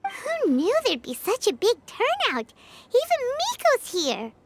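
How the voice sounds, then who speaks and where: A woman voicing a tiny, excitable floating companion girl who talks in a bright, squeaky way in a video game. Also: measured pace, noisy background, exaggerated voice. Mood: eager.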